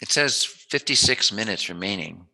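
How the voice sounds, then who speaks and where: A man's voice: fairly low pitch, expressive, moderate pace, vocal fry, bright, forceful tone, low-quality recording. A man hosting a casual, chatty interview, tossing in joking asides as he asks questions. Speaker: interviewer, host.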